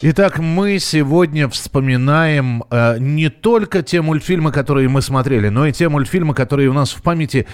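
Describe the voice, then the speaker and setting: A man's voice: on the high side, normal speed, few pauses, clear, resonant tone, faint music behind. A man hosting a casual live radio phone-in, talking in a hesitant way as if thinking aloud. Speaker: radio host.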